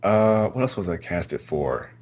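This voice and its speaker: A man answering interview questions casually, in a low-key, slightly hesitant way. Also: lively intonation, a little slow, darkish tone. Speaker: amateur voice actor, interview guest.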